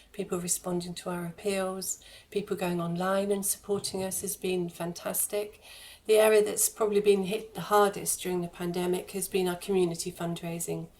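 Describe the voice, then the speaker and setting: A woman giving explanatory interview answers as a charity guest, in halting phrases with repeated words. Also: lowish voice, a little mellow. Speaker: guest, charity head of fundraising and communications.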